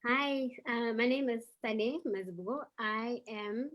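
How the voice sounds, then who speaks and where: A woman with a South African accent, who grew up in KwaZulu-Natal, introduces herself as a guest in a measured way, pausing on ums. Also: fairly high pitch, a bit bright. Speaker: panel guest.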